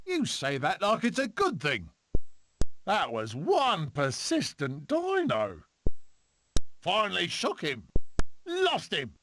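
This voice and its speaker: A man voicing a cocky, excitable furry adventurer animal in a video game, with a theatrical, exclamatory delivery. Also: high voice, takes it slowly, long pauses, a little hiss or hum, full character voice. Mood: cocky relief.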